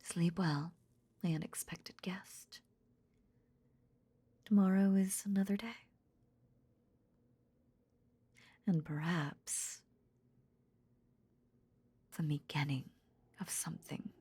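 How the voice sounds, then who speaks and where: A woman playing a possessive lamia in an intimate roleplay audio drama, tenderly nursing in a breathy, half-whispered, seductive murmur with veiled menace. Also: lowish voice, steady tone, warm tone, no room sound, full character voice. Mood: tender, intrigued. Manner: slow, soothing, seductive murmur.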